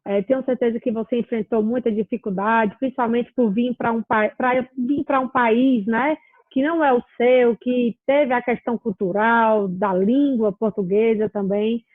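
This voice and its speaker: A woman's voice: a little high, fairly even intonation, moderate pace, no echo. A woman hosting an interview, asking long, supportive questions. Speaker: host. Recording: thin, phone-like, dry.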